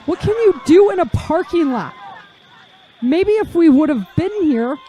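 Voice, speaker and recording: A woman's voice: very high voice, animated, unhurried, warm tone, lo-fi sound. A woman playing an anguished mother caught up in a disaster, in an audio drama with noisy outdoor surroundings. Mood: grief-stricken. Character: anguished mother and EMT-trained survivor, overwhelmed by disaster. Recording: noisy outdoor scene with crowd ambience.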